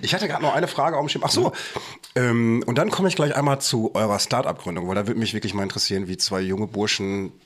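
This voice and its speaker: A man's voice: lowish voice, quick pace. A man hosting a podcast, a chatty storyteller who jokes and laughs often. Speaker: podcast host. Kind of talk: casual chat.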